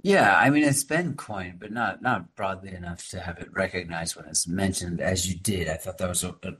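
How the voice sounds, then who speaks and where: A man's voice: low-pitched, normal speed, a bit bright, slightly rough. A male teacher and activist speaking emphatically as a podcast guest, often adding "right?" and "like" as he explains. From Massachusetts. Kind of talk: conversational podcast interview.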